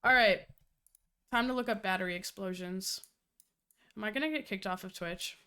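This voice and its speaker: A woman's voice: medium pitch, moderate pace, sharp tone, a little hiss or hum. A young adult woman streaming, chatty and profane, who sounds nasal and congested from a cold. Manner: chatty, profane, laughs often. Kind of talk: casual live-stream chat.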